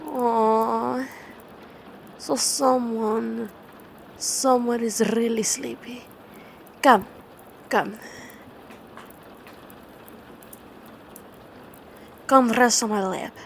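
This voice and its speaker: A woman playing a tender, dominant vampire girlfriend in an ASMR bedtime roleplay, speaking in a halting, soothing way. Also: a little high, fairly even intonation, full character voice. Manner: slow, halting, soothing delivery with repeated words. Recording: clearly noisy ambient background.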